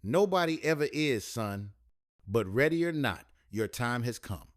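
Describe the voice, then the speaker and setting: A man reading the part of a warm, loving middle-aged mother who speaks in soothing, folksy phrases. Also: medium pitch, lively intonation, measured pace, no room sound, studio quality, close to an everyday voice. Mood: tender.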